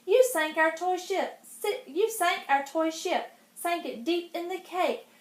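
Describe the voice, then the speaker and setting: A woman puts on a fussy storybook fish, full of indignant protest. The voice is high-pitched and emphatic, forever scolding. The room around it is echoey. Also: unhurried. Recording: roomy echo.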